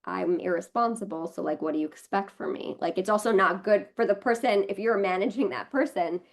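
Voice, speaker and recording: A woman's voice: medium pitch, brisk. A woman with an American accent, a guest talking casually on a podcast with many fillers. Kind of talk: casual conversation.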